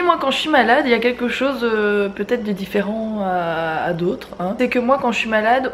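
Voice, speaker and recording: A young woman talks to camera at home in a chatty family-vlog manner, her voice stuffy and congested from a head cold. Her pitch sits a little high, and she fills her sentences with plenty of fillers. Faint noise sits behind her. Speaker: family vlogger. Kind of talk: casual vlog talk to camera. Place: at home, indoors.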